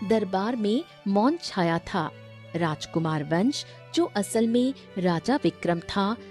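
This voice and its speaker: A woman's voice: mid-range voice, a little mellow. A woman narrating a scripted story as its storyteller.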